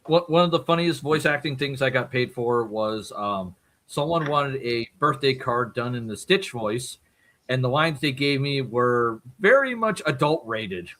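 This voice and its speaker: Bright-voiced man chatting as podcast guest. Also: medium pitch, animated, unhurried. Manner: chatty, laughs often. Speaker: guest voice actor. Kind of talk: casual podcast chat. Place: home streaming setup.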